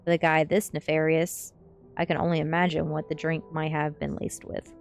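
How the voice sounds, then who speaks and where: Low-pitched woman reading a story. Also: flat delivery, normal speed, vocal fry, soft background music. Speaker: streamer reading a novel aloud. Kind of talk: ASMR story reading, first-person narration.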